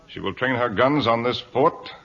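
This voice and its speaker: An actor in an old-time radio drama playing a resolute British naval captain with a clipped, formal, commanding manner. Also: male voice, mid-range voice, moderate pace, a bit bright, natural voice. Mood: firm. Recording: dull vintage radio broadcast.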